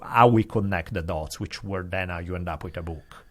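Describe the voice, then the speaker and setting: Low-voiced man musing on history. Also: normal speed. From Italy. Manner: thoughtful, digressive, hedging explanations. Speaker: podcast guest, historian. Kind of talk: casual conversation.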